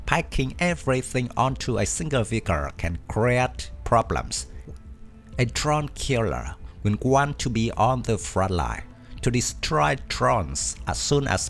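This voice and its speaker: A man reading a scripted documentary narration at an even, measured pace. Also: medium pitch. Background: faint background music.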